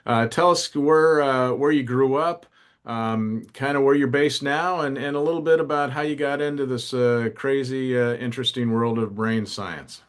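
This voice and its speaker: A man's voice: mid-range voice, steady tone, moderate pace, clear, resonant tone. A man speaking as a podcast host, introducing and interviewing a guest. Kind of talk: scripted intro and conversational interviewing. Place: wine cellar used as a studio.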